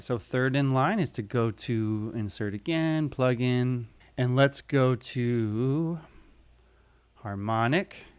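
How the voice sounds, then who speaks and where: A man's voice: mid-range voice, clear, resonant tone. A man narrating a casual how-to tutorial, explaining things step by step in an informal way. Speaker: audio tutorial presenter.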